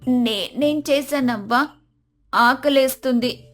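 A woman acts a timid, sorrowful orphan girl in a moral story. Her voice is high and plaintive, pleading as an outcast would, with music underneath. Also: slow, fairly bright tone. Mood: hurt pleading.

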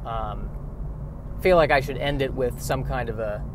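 A man hosting a podcast alone in a rambling comic monologue, halting and repeating his phrases. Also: medium pitch, background noise. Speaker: solo podcast host.

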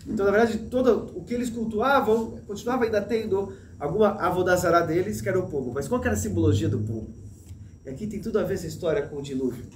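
A man in his thirties teaching a Torah lesson in an animated way, gesturing and restarting often, recorded indoors on a desk mic. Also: high-pitched, fast, reverberant, slight background noise. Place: small room with a plain wall, indoors. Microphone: desk mic in front, medium framing.

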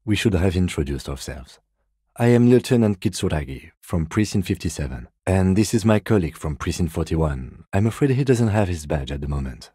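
A man playing a composed, dry-witted police lieutenant in a video game, speaking with measured, formal, deadpan courtesy. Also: sits low, breathy edge, dry recording. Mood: formal, reassuring. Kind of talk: video game character dialogue.

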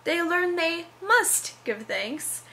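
A woman's voice: very high-pitched, sing-song, takes it slowly, bright, forceful tone, a little hiss or hum, pitched above the performer's own voice, heavily acted. A young woman playing an earnest, over-dramatic narrator, declaiming verse from a Christmas stage show. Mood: grandly festive. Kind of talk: dramatic reading.

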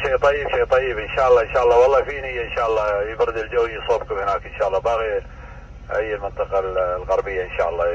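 Gulf Arabic man over muffled two-way radio. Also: medium pitch. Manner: courteous, welcoming, repeats phrases. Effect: two-way radio transmission. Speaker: amateur radio operator. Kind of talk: casual ham radio exchange. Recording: muffled, distant radio speaker, faint noise.

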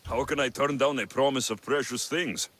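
An actor playing a confident, wry mercenary warrior of ancient Greece, dry and teasing, in video game dialogue. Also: male voice, mid-range voice, fairly even intonation, moderate pace, noisy background, lightly acted.

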